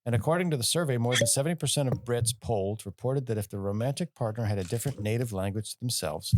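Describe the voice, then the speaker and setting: A man's voice: lowish voice, a little mellow, no echo. A man co-hosting a casual comedy podcast, reading news stories aloud between jokes. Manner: reads news items aloud, breaks into goofy mock-Italian voices.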